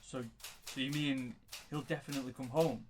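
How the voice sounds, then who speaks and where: A man's voice: medium pitch, fairly even intonation, moderate pace, noisy background, natural voice. An actor playing the earnest, anxious middle-aged father of a young soldier in an audio drama.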